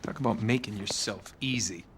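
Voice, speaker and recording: A man's voice: on the low side, normal speed, slight background noise, lightly acted. A man playing a wisecracking, skeptical American treasure hunter in a video game, speaking in a wry, casual, naturalistic way. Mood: irritated.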